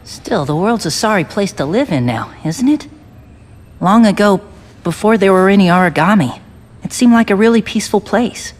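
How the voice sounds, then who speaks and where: A woman's voice: medium pitch, animated, normal speed, lightly acted. A woman voicing a cheerful, boyish young soldier in a video game, talking in an earnest, informal, chatty way. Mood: chatty, wistful. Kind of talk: English video game voice acting.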